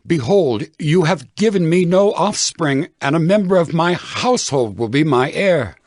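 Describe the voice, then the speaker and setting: A man's voice: a little high, animated, moderate pace, dry recording, clean studio sound. An actor playing an earnest biblical patriarch who pleads with his Lord in a dramatized Bible reading. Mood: earnest pleading.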